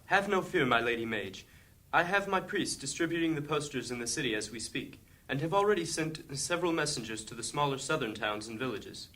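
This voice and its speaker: A man playing a courteous, stately high priest in a fantasy audio drama, speaking formally. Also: expressive, brisk, sharp tone. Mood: gracious.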